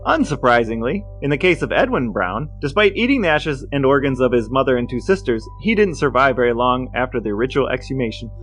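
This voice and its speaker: A man's voice: medium pitch, big pitch swings, fairly quick, hardly any pauses, a bit bright, soft background music. A man co-hosting a podcast, explaining things in a bookish way, partly read and partly conversational. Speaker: podcast co-host. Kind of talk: scripted reading and casual discussion.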